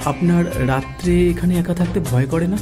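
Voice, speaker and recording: An actor as a high-voiced earnest young hero. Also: male voice, steady tone, moderate pace, close to an everyday voice. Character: earnest, brave young male musician hero. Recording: music under the voice.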